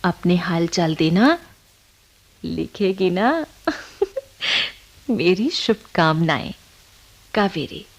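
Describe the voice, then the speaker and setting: A woman acting a dreamy young newlywed composing a letter in a Hindi radio play, breathy and intimate. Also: fairly low pitch, expressive, very slow, pauses often, full character voice. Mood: dreamy, fond.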